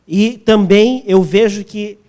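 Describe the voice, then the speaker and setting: A man with a Brazilian accent interpreting a sermon phrase by phrase, sometimes halting as he searches for wording. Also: sits high, mellow.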